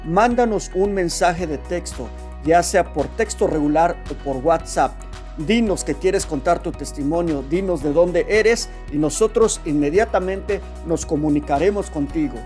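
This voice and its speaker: Man, testimony show host, fervent preacher-like exhortation, raised pitch, light music bed. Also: a little slow, a little mellow.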